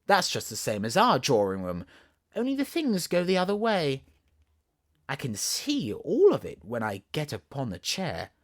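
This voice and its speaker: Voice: mid-range voice, wildly swooping pitch, normal speed. A storybook narrator with a Southern English accent voicing a chatty, imaginative, bossy little girl who is playful and full of wonder. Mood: eager, confiding.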